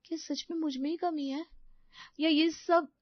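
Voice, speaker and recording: A woman's voice: high-pitched, steady tone, measured pace, dry recording, dull audio, pitched above the performer's own voice. A woman voicing an earnest, determined young daughter-in-law in a story, speaking in an eager, emphatic way. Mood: hurt. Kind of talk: animated story dialogue.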